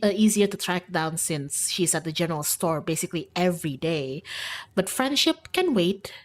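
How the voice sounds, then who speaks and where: Woman plays breezy young small-town gal in a visual novel, chatty monster-hunting enthusiast, very animated. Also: medium pitch. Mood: eager, friendly.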